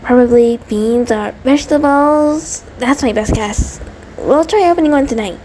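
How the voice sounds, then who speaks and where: A woman's voice: high voice, moderate pace, darkish tone, slight background noise, close to an everyday voice, rough recording. A woman playing an anxious, affectionate female centipede monster, nervous, stammering and apologetic, in an intimate roleplay audio drama. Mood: pleased, focused.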